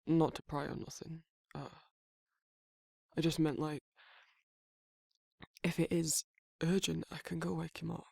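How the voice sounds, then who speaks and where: A man voicing a gruff but caring werewolf in an intimate ASMR roleplay, with a husky Northern English accent and a hesitant, informal way of talking. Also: a little high, little pitch movement, a little slow, long pauses, mellow, no echo, clean studio sound. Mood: friendly, flustered. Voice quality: husky, gravelly. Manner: hesitant, informal, stumbling over feelings. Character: gruff but caring young male werewolf, awkwardly protective.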